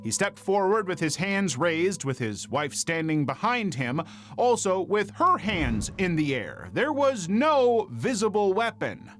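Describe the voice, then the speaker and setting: A man reading scripted true-crime narration in a measured, dramatic storytelling manner. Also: high voice, sing-song, a bit bright, a little hiss or hum.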